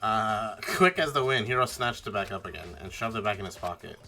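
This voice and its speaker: A man's voice: lowish voice, expressive, fairly quick, bright, forceful tone, soft background music. A man voicing an earnest, suspicious young detective, questioning and narrating in an amateur video game dub. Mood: wary, narrating. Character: earnest, suspicious teenage boy detective narrating his investigation.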